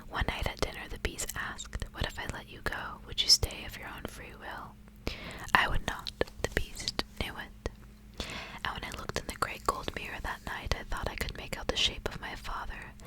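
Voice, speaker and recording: A woman speaking in a soft, breathy, intimate whisper, as an ASMR host. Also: rough recording. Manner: soft, hushed, intimate delivery. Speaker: ASMR host reading aloud. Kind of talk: whispered ASMR storybook reading with casual asides.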